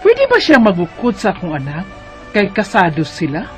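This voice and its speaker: High, lively male letter reader. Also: normal speed, faint music behind. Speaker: radio drama reader. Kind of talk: reading a listener's letter aloud.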